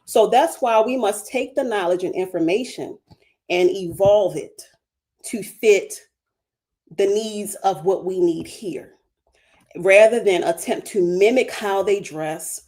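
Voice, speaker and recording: A woman's voice: mid-range voice, slow pace, darkish tone. Passionate woman with a Baltimore accent. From Baltimore, USA. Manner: passionate, emphatic, preaching cadence with repeated phrases. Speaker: spiritual guest speaker. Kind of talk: livestream talk.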